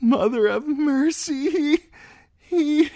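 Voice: high-pitched, takes it slowly, mellow, dry recording, studio quality, pitched above the performer's own voice. A narrator voicing a distraught, weeping noblewoman in an audiobook, stammering in a quavery, sobbing voice. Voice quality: quavery, stammering, breaking into sobs. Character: bruised, weeping noblewoman, frantic and pleading.

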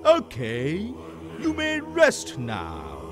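Lazy, fretful, very animated husband. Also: male voice, a little high, very slow, music in the background, full character voice. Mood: nervous. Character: lazy, timid, fretful middle-aged Brahmin husband. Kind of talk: animated children's story acting.

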